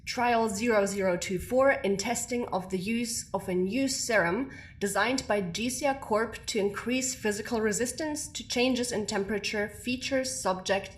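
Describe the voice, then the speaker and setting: Actress, stern older woman scientist, curt, formal, audio drama, raised pitch. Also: normal speed, few pauses, close to an everyday voice. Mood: clinical, stern. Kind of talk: audio drama acting as recorded lab log.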